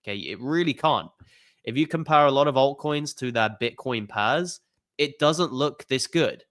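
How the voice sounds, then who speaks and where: Guy doing a crypto chart rundown, sick with the flu, chatty, smooth voice, dry close sound. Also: medium pitch, lively intonation, moderate pace, a bit bright, no room sound. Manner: conversational, filler-heavy, "you know" and "okay?" tags. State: has the flu, voice a bit off. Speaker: crypto channel host. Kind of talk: casual chart analysis monologue.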